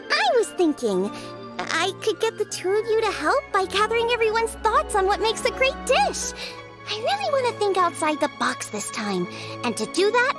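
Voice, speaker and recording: A woman voicing a cheerful, eager teenage girl chef in an anime video game, with a youthful, enthusiastic delivery. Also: extremely high pitch. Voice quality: youthful, girlish. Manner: perky, enthusiastic.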